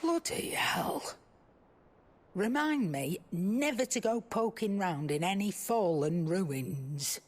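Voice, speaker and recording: An actress playing a blunt, gruff, worldly woman in a video game. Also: medium pitch, expressive, slow. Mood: aghast. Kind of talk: video game cutscene acting.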